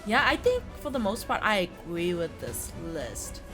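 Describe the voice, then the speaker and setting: A woman's voice: medium pitch, sing-song, normal speed, over music. A woman co-hosting a casual gaming discussion, talking informally as she ranks and debates. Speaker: host of a gaming tier list stream.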